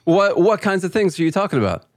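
A man's voice: high-pitched, sing-song, quick pace, no echo, clean studio sound. A man hosting a podcast and conducting a conversational interview. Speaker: podcast host.

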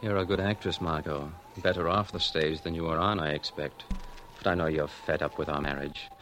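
An actor playing an ailing, suspicious husband who speaks in a measured, sardonic, accusing way in a 1940s radio drama. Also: male voice, a bass voice, fairly even intonation, fairly bright tone. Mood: bitter, accusing. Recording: 1940s radio recording, faint noise.

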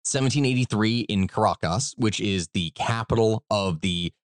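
A man's voice: lowish voice, moderate pace, dry recording, clean studio sound. A man with an American accent and a slight Kentucky twang, telling history as a podcast host in a rambling, emphatic, informal way. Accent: American, slight Kentucky country twang. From Kentucky, United States. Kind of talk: conversational history storytelling.

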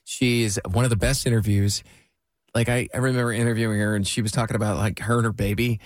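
A man's voice: lowish voice, fast, no room sound, studio quality. Easygoing nasal man radio host. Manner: easygoing, animated storyteller. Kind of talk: casual podcast chat. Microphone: studio microphone.

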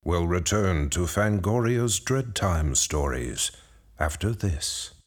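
A man's voice: deep voice, expressive, slow. A man giving scripted radio announcements and credits as a show announcer. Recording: music clearly behind the voice.

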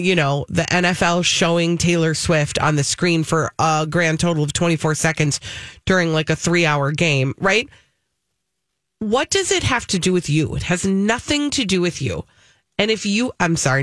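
An American woman co-hosts a radio talk show in a lowish voice. She addresses listeners head-on, conversationally, and repeats phrases for emphasis. The sound is close and dry, like a studio. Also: fairly bright tone, no room sound. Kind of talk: casual radio chat.

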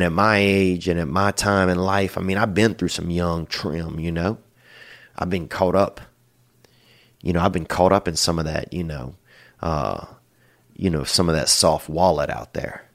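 A man hosting a call-in podcast, giving rambling, crude, folksy advice full of "you know" and slang. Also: low voice. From Polish Nicaraguan family. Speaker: podcast host. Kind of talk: casual advice to callers.

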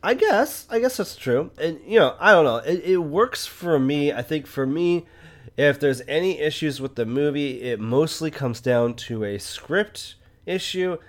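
A man's voice: fairly high pitch, expressive, unhurried. A man co-hosting a podcast, talking through films in a casual, reflective, conversational way. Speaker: podcast co-host. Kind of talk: casual film review chat.

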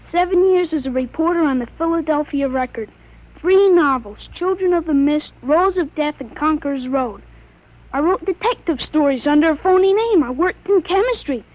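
A woman voicing a thirteen-year-old boy with a grown man's mind, speaking calmly and precisely in a 1940s radio drama. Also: very high voice, normal speed. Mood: earnest. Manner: calm, precise, matter-of-fact. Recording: dull lo-fi old radio recording.

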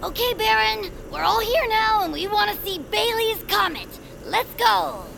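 Very high, creaky, eager little creature. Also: female voice, lively intonation, measured pace, noisy background, full character voice. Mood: eager, insistent. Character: eager, pleading young peepkin child, small bird creature. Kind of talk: audio drama cartoon acting.

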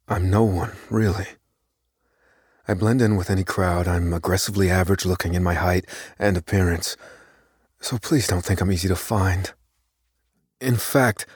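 An actor playing an unassuming, secretive young computer whiz, giving a guarded, deliberate confession in an audio drama. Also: male voice, deep voice, darkish tone, husky, no echo, studio quality. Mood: guarded, insistent. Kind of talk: dramatic first-person audio fiction narration.

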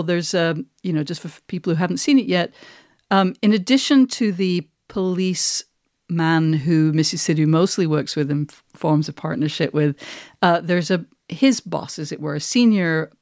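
A woman with a fairly low voice speaks as an interviewer, recorded close and dry. Her delivery is conversational, building long questions with asides along the way. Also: a little mellow, dry recording. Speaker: podcast host, interviewer.

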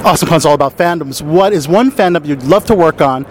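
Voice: medium pitch, fast. Upbeat interviewer with faint street noise. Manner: upbeat, eager affirmations. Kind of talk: casual convention interview. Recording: faint traffic noise outdoors.

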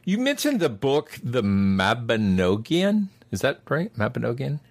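A man's voice: mid-range voice, huge pitch swings, a little slow, creaky, no room sound. A man co-hosting a podcast, playful and punning in casual chat. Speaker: podcast co-host.

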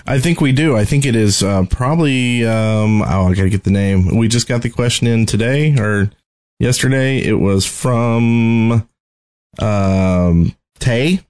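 A man co-hosting a gaming podcast, talking casually in a somewhat hesitant, halting way. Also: mid-range voice, a little mellow. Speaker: podcast co-host.

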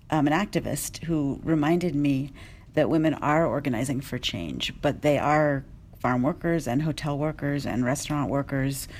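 A woman journalist speaking earnestly on a discussion panel, measured and with small restarts. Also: low-pitched, darkish tone.

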